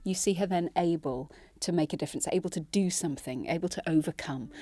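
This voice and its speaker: A woman's voice: on the low side, quick pace, warm tone. An actress talking earnestly and reflectively about her role in an interview. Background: music.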